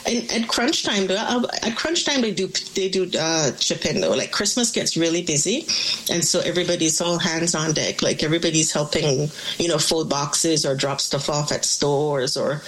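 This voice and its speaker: A woman's voice: sits low, moderate pace, a bit bright, low-quality recording. A middle-aged woman, born in Canada and raised in Jamaica, chatting as a small business owner in a radio interview and laughing often as she talks.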